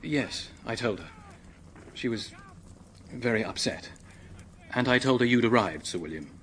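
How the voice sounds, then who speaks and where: An actor playing an earnest, polite young British secretary who is anxious and hesitant, in a radio drama. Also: male voice, medium pitch, lively intonation, unhurried, fairly bright tone, slight background noise. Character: earnest, flustered young English male secretary, helpful and anxious.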